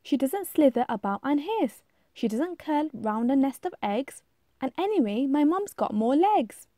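A woman's voice: high-pitched, big pitch swings, warm tone, no room sound, clean studio sound. A woman voicing a fretful, insistent young monkey in a rhyming storybook, plaintive and emphatic. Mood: protesting. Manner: plaintive, emphatic, drawn-out protests. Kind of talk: rhyming storybook read-aloud.

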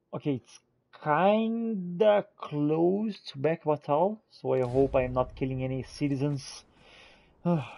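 A man giving expressive, exclamatory in-character gameplay commentary, thinking aloud as he roleplays. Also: mid-range voice, vocal fry, breathy edge. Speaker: gamer roleplaying as a character in a playthrough.

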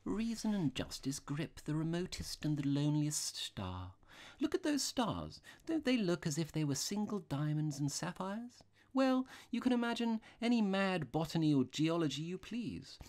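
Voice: mid-range voice, big pitch swings, moderate pace, darkish tone, pitched above the performer's own voice, natural voice. A reader voicing a mild, vague little rustic priest in a storybook, unworldly, hesitant and apologetic. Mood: earnest, dreamy.

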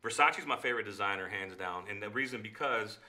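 Fast-talking man telling stories with bright energy. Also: on the low side. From United States. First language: Spanish. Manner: fast, profane, animated storytelling. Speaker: podcast guest. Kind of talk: casual storytelling chat. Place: small living room. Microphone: studio mic on a stand.

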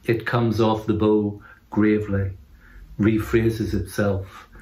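Slow, low-voiced man reciting poetry. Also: flat delivery, a little mellow. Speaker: poetry reader. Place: indoor room. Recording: roomy, echoing indoor sound.

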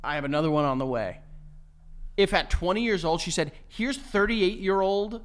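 A man in his thirties co-hosts a casual podcast, his slightly high voice swinging up and down as he riffs and swears freely. He sounds loose and animated. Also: normal speed. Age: 30s. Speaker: podcast co-host. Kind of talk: casual chat.

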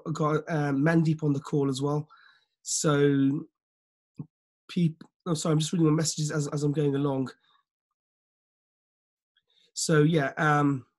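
A man in his forties, slightly croaky, hosting a motivational video call for his team, talking earnestly with lots of fillers and restarts. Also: a little high, darkish tone. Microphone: laptop webcam on a video call.

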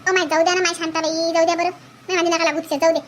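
A woman voicing several bickering village women in a cartoon, shrill and scolding, with a Varhadi Marathi accent. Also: little pitch movement, rapid-fire, slight background noise. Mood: soothing. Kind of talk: cartoon voice acting.

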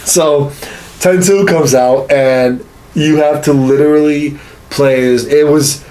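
A man's voice: a little high, steady tone, slow pace, mellow, low-quality recording. A man in his thirties chatting casually about games as a podcast co-host, with a crude, joking, profane manner. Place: small home studio room.

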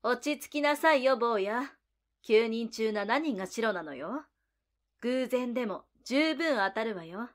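Woman acting a cool sultry big-sis type in an anime audio drama, bit high, talks down to people. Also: lively intonation, unhurried. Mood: condescending. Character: cool, alluring, defiant adult woman. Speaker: voice actor.

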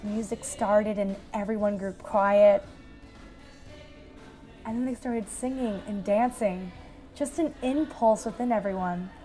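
A woman acts out a female survivor in a radio drama interview. She speaks in a measured, reflective way with a wistful temper, at a middling pitch. Faint music sits behind her. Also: mellow, close to an everyday voice. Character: female asteroid-disaster survivor recalling shelter life, wistful.

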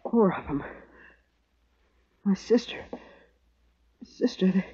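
An actor playing a wounded, terrified homesteader boy in an old radio western, halting and faint. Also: sits high, expressive, mellow, slight background noise. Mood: gasping, desperate. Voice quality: breathy, faint. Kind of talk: 1950s radio western acting. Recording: muffled old radio recording.

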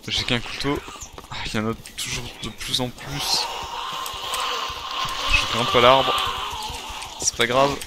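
Behind heavy noise and game ambience, a man talks his way through a survival roleplay game. He speaks slowly at an average pitch, muttering short phrases to himself and often repeating them. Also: fairly even intonation. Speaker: gaming video creator playing a survivor. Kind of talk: improvised first-person roleplay gameplay commentary. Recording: very noisy home recording, game sounds behind.

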